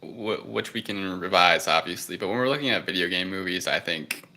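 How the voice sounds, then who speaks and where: A man's voice: low voice, fairly quick, sharp tone. A man on a podcast panel, casually chatting through his opinions on movies.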